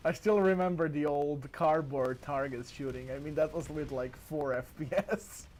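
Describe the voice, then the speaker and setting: A man's voice: on the high side, steady tone, moderate pace, darkish tone. A man hosts a casual gaming podcast interview with friendly curiosity, laughing easily. His is a little broken. A faint hiss sits behind his voice. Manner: friendly, laughs often, slightly broken English. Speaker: podcast host.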